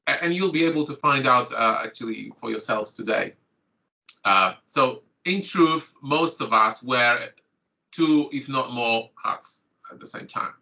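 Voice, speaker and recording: A man's voice: mid-range voice, unhurried, vocal fry, sharp tone, rough recording. A middle-aged man whose first language is Polish, a doctor opening an online training course in a chatty manner full of fillers and restarts, speaking into a computer mic on a video call. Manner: chatty, filler-heavy, self-correcting.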